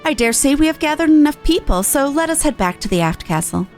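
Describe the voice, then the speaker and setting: Woman voicing a gracious, higher-voiced fantasy game envoy. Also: sing-song, a little fast. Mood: humble, pleased. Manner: courteous, archaic formal phrasing. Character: gracious, formal festival envoy from the Far East. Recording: game music behind the voice.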